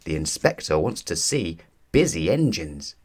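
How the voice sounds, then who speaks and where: A man's voice: sits low, sing-song, a little slow, a little mellow, exaggerated voice. A man voicing an eager, cheery young male tank engine in a children's storybook, with a peeping, chirpy manner.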